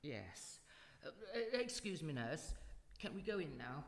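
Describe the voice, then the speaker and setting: A woman's voice: sits low, sing-song, a little slow, heavily acted. A woman playing a courteous, sympathetic old friend in a live radio drama, with a polite, genteel manner. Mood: subdued, soothing. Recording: echoey hall, live stage performance.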